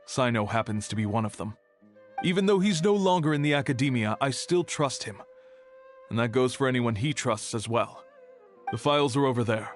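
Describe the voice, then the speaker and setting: A man voicing a cautious, reserved keeper of a restricted archive, speaking in a measured way in a game cutscene. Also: medium pitch, lively intonation, soft background music, close to an everyday voice.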